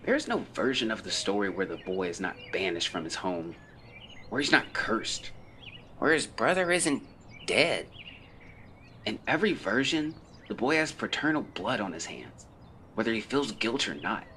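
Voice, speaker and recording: A man's voice: lowish voice, very animated, normal speed, pushed and bright, slight background noise. An actor playing a brooding, guilt-ridden man of the 1920s, solemnly and formally reading out his final confession in an audio drama. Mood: grave, bitter.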